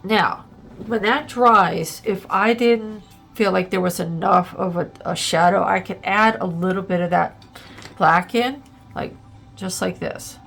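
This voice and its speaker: A woman's voice: medium pitch, a little slow, vocal fry, a bit bright, faint music behind. A woman hosting a casual art tutorial, a little congested and plugged up, chatting and thinking aloud as she works, with lots of ums and likes. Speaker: art channel host.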